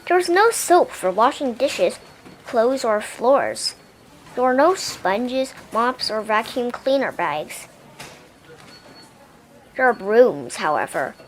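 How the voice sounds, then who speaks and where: A woman reading a children's picture book aloud as an expressive narrator. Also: fairly high pitch, slow pace, long pauses, slight background noise. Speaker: storybook reader.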